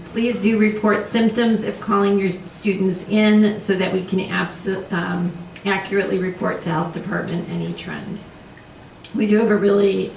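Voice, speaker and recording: A woman with a squeaky voice giving a superintendent's report at a board meeting, clearing her throat and coughing often. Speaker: school superintendent. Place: meeting room. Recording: echoey room from far off, noisy.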